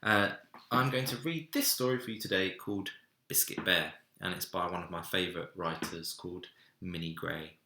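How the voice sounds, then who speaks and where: A man reading a children's story aloud in an expressive narrating style, recorded in an echoey room. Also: low-pitched, moderate pace. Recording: roomy, echoey indoor sound.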